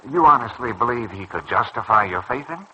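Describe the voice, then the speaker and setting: A man's voice: medium pitch, lively intonation, brisk, pushed and bright. A man playing a glum, bitter young surgeon in a mid-century radio soap opera, hesitant and sceptical in manner. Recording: dull, noisy old radio recording.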